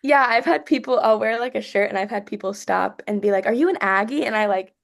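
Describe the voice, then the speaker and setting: A woman's voice: fairly high pitch, fast, vocal fry. An American woman from Texas in casual conversation on a video call. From Texas, United States. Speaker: actor attending a table read. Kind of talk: casual chat.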